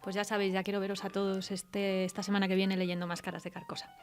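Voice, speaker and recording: A woman's voice: medium pitch, level tone, fast, soft background music. A woman with an accent from Spain hosting a casual radio interview. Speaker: radio host.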